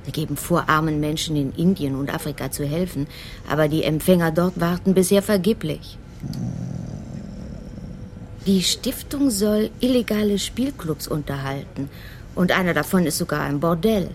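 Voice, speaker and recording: An actress playing a commissioner's older wife, affectionate and inquisitive, in a radio crime drama, speaking in a familiar way. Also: female voice, low-pitched, normal speed, slight background noise. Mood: indignant. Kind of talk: German radio play acting, intimate dialogue.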